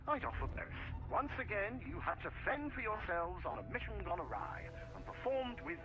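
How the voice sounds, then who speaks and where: Arch, sardonic spymaster, lively high-pitched male. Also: moderate pace, music in the background, low-quality recording. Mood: wry approval. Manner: arch, verbose, dryly ironic. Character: sardonic, controlling male military spymaster, coolly menacing. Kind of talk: theatrical video game cutscene acting.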